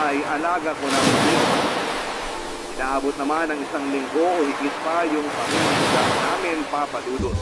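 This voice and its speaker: A man working as a radio host, reading listener letters as measured, sentimental dramatic narration. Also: fairly high pitch. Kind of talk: dramatic scripted storytelling narration. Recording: loud music bed under the voice.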